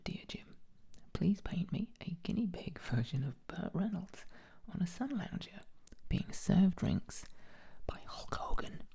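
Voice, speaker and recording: Gravelly whisperer with dry humour. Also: mid-range voice, sing-song, a little slow, warm tone. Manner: dry, jokey asides. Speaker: host showing paintings. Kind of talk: whispered ASMR commentary.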